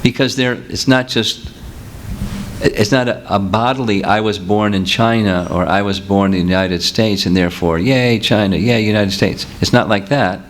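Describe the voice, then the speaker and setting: A low-pitched man teaching a seminar, speaking patiently and working through examples as he answers questions from the audience. His voice comes through a handheld microphone in a meeting room. A faint hiss of room noise sits under the talk. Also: normal speed. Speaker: spiritual teacher.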